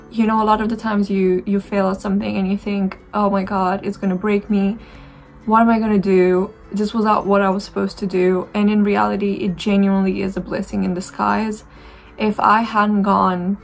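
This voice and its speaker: A woman's voice: mid-range voice, gravelly. A woman in her twenties from Romania with a Romanian accent, chatting casually and reflectively to camera while doing her makeup, with many fillers and restarts. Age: 20s. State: dry throat. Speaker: beauty vlogger.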